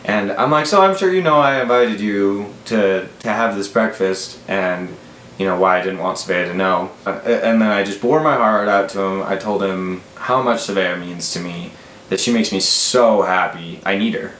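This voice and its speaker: A man's voice: fairly low pitch, moderate pace, fairly bright tone. A man in his twenties with an American accent, telling a story casually and animatedly, quoting dialogue as he goes. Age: 20s. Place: small room at home, indoors. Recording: roomy echo, faint background noise.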